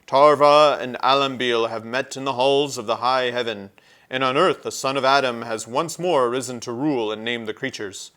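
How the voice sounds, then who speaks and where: A man's voice: mid-range voice, fairly even intonation, normal speed, fairly bright tone, natural voice. A man voicing a noble, warlike centaur prophet in a storybook, solemn, declamatory and commanding. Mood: solemn, prophetic.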